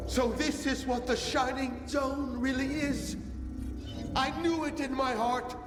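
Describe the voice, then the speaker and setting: A fervent faction leader from a video game, with a very high voice. The speech is slow and reverent. It echoes in a long hall. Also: male voice, steady tone, distant mic. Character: fervent, commanding true believer and faction leader. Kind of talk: video game cutscene acting. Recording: echoey hall, music behind.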